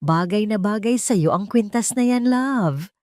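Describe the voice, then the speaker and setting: A woman's voice: medium pitch, animated, unhurried, a little mellow, dry recording, studio quality, voice pushed up, natural voice. A woman voicing a cold, contemptuous cheating husband in an audio melodrama, sneering and belittling. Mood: scornful.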